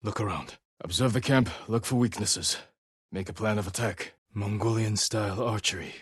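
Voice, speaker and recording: A man playing a stoic, determined samurai warrior in a video game, with a husky, hushed voice and a terse, grave, measured delivery. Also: fairly low pitch, no room sound, studio quality. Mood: grave, focused. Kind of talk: dramatic video game voice acting.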